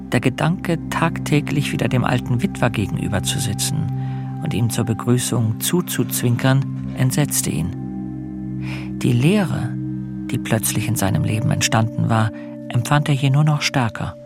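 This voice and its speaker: Sad timid middle-aged husband in a radio play, mid voice, hesitant, music under it. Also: male voice, medium pitch, creaky. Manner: hesitant, terse, melancholy. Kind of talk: German radio play acting with introspective narration. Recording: music behind the voice.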